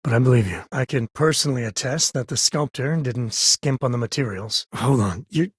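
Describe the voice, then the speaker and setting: An actor playing a rugged, easygoing middle-aged space explorer and father in a video game, with a husky, folksy, wry, conversational delivery. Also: mid-range voice, a little fast, dry recording, studio quality, lightly acted. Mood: sincere, subdued. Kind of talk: video game companion dialogue.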